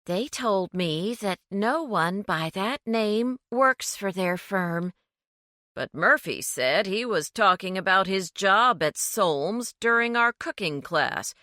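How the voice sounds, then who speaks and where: Brief: insistent, urgent young woman, raised pitch and lively, by the woman narrator. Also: slow, no echo, studio quality. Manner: urgent, pleading, bossy.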